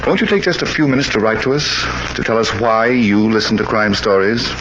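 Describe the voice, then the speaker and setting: A man's voice: on the low side, brisk, fairly bright tone. A man hosting and narrating a vintage radio crime program who politely appeals to listeners to write in. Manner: earnest, courteous appeal to listeners. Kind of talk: 1940s radio announcing. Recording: dull old radio broadcast with hiss.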